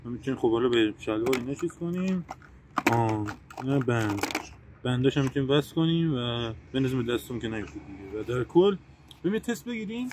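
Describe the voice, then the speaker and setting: A man's voice: medium pitch, animated, background noise. A young man vlogging an unboxing with a friend, joking and playful in casual chat. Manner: playful, jokey, talks over his friend. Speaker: vlogger unboxing a walkie-talkie.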